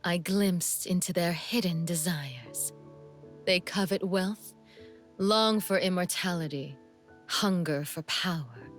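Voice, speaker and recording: Slow, seductive assassin woman. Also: on the low side. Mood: languid menace. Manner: languid, teasing, deliberate, sometimes breathy near-whisper. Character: seductive, sadistic female assassin, coolly amused and menacing. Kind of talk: video game character voice lines.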